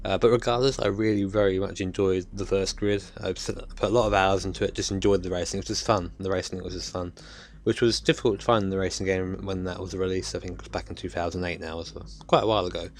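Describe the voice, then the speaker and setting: Croaky-voiced young man. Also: low-pitched, little pitch movement, quick pace, hardly any pauses. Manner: rambling, hesitant, with many ums. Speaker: gaming YouTuber. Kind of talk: casual gameplay commentary.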